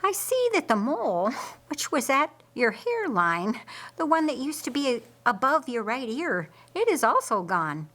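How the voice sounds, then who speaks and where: A genial, teasing man from a Bible storybook, as a woman reader puts him on. He talks in a hearty, unhurried way with a raised, lilting pitch. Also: very animated, fairly bright tone, exaggerated voice.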